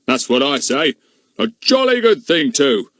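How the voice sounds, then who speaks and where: A pompous, short-tempered village policeman, as a man puts him on for a storybook reading. He blusters and scolds, pitched higher than the reader's usual speech, with the words swinging up and down and sounding a little set back. The sound is dry and close. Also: very animated, a little slow, a bit bright, no room sound, exaggerated voice. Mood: scornful. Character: pompous, irritable middle-aged village policeman.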